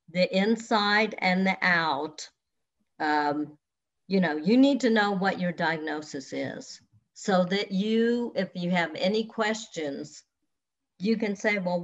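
Slow, earnest older woman counselor on a video call. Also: lowish voice. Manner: slow, earnest, advises listeners directly. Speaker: counselor and hypnotherapist. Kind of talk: online expo talk answering questions. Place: home room with large windows. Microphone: webcam on video call. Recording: dull lo-fi video call audio.

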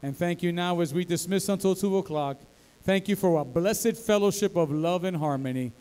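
A male pastor praying over his flock in a fervent, rhythmic cadence, his voice sitting a little higher than most men's. He speaks into a pulpit mic in a large church sanctuary. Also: normal speed, mellow, clear, resonant tone. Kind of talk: leading a congregational prayer.

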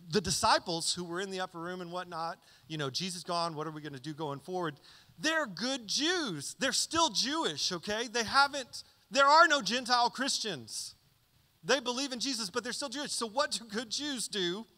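A man in his thirties preaching a conversational sermon into a handheld mic on a church stage, often checking in with "okay?". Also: sits high. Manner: conversational, animated teaching with frequent "okay?" check-ins. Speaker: pastor. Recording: reverberant church hall.

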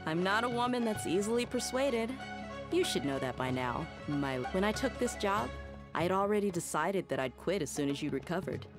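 A woman's voice: medium pitch, lively intonation, normal speed, lightly acted. A woman playing a tough, free-spirited young mercenary bodyguard in a video game, self-assured and casual. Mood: confident. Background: background music.

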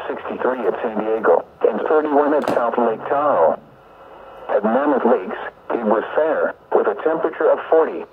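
A man's voice: medium pitch, creaky. A synthetic man's voice reading a scripted weather report over the radio, formally and in clipped items. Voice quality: synthetic text-to-speech voice. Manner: formal, clipped item-by-item delivery. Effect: radio broadcast transmission. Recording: narrow-band, faintly noisy weather radio.

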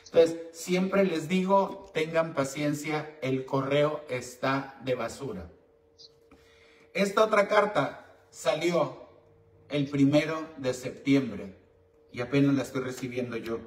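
Campy high-voiced Mexican man, roomy echo. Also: slow pace. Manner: brash, teasing, campy, bawdy. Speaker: call-in show host and esoteric advisor. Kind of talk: bantering call-in advice show. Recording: roomy echo, distant mic, faint noise.

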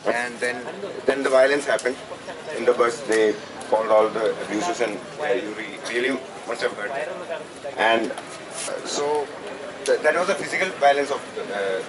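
A man in his twenties with an Indian accent gives an impromptu public address as a student speaker, haltingly repeating phrases as he searches for words. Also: mid-range voice. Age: 20s. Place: outdoors at night under a canopy. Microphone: handheld microphone, recorded from a distant camera. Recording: noisy outdoor PA, distant camera pickup.

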